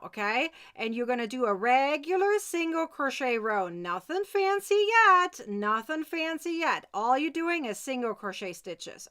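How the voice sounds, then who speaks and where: A woman's voice: high-pitched, bright, forceful tone. A woman hosting a live step-by-step crochet tutorial, chatty and insistent, often checking that listeners follow. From Germany.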